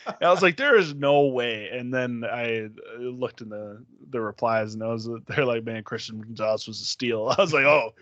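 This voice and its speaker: Man, nasal voice, podcast co-host, animated, laughs often, dull audio. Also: medium pitch, moderate pace, no room sound. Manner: animated storyteller, acts out quotes, laughs often. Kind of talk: casual podcast chat.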